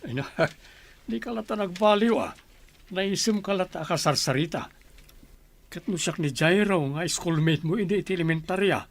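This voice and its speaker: A man's voice: sits high, moderate pace. A man playing a kindly, joking middle-aged village uncle in an Ilocano radio drama, speaking in a folksy, conversational way. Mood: teasing. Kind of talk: Filipino radio comedy-drama acting.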